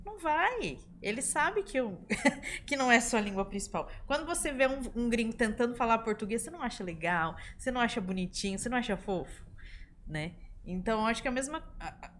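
A woman's voice: on the high side, sing-song, fairly quick, slight background noise. A woman, a language teacher, talking in a casual podcast discussion in a studio, explaining with everyday examples. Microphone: studio boom mic.

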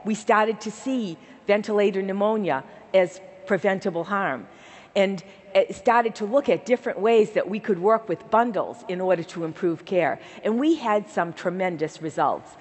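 A woman's voice: medium pitch, normal speed, a little mellow. A woman in her sixties with a slight Boston accent, giving an earnest keynote address on a large conference stage. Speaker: keynote speaker, organization leader. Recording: large hall reverb.

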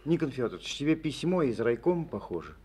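An actor playing an earnest young village man with a husky voice, in a Soviet radio drama. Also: medium pitch, sing-song, fast talker, darkish tone.

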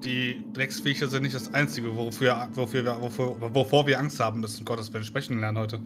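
A man's voice: medium pitch, fairly even intonation, brisk. A man playing a tabletop role-playing game, chatting casually in character. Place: online group call, indoors at home. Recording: clear background noise. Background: noise.

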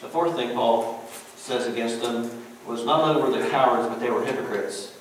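Folksy preacher in an echoey church. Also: male voice, mid-range voice, normal speed. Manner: folksy, conversational preaching with chuckles and "you know". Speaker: pastor. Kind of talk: sermon. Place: church sanctuary. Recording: very echoey church room, distant mic, faint hiss.

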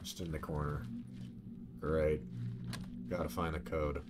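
A man's voice: extremely low pitch, little pitch movement, a little slow, very noisy. A man streaming a game with casual commentary, muttering to himself in short fragments. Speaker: gaming streamer. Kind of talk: casual let's-play commentary. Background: faint game music.

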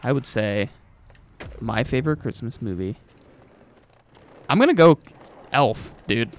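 A man's voice: mid-range voice, lively intonation, unhurried. A young adult man hosting a gaming video, chatting casually over gameplay in slangy, informal language with some swearing.

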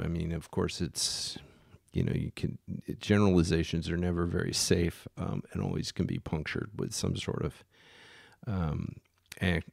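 Deep gravelly man, rambling and unhurried. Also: a little mellow, dry recording, clean studio sound. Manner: rambling, many ums and restarts. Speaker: yacht charter captain. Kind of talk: casual storytelling. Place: Los Angeles.

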